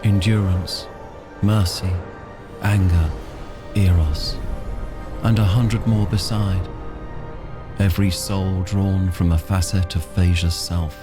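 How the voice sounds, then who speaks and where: Deep, unhurried mythic storyteller. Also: male voice, fairly even intonation, music in the background. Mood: solemn, measured. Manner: measured, poetic phrasing. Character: grave male storyteller of a creation myth. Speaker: narrator. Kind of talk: solemn scripted creation-myth narration, fantasy audio drama.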